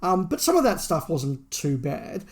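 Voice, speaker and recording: A man's voice: a little high, very animated, a little slow. A man co-hosting a review podcast, going through a story and giving his opinion of it. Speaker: podcast host. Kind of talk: book review discussion, partly reading a plot summary.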